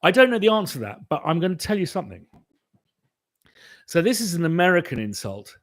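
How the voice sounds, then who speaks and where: A man's voice: high voice, very animated, brisk, fairly bright tone, dry recording, clean studio sound. A British man answering viewers' questions in a casual live chat, rambling with many restarts and fillers. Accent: British English. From Britain. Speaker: composer hosting a live Q&A.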